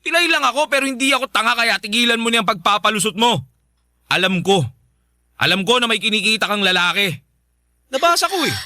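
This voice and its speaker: A man's voice: sits high, lively intonation, a little fast, long pauses, dry recording, clean studio sound, full character voice. A Filipino man playing a bitter, suspicious husband who accuses his wife, in a Tagalog radio drama. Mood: accusing, angry. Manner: accusing, forceful.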